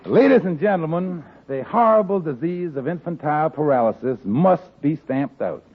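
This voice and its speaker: A man's voice: fairly high pitch, expressive, moderate pace, a little hiss or hum. A man making an urgent public-service appeal on 1940s radio in a grave tone. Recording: tinny, distant old radio recording.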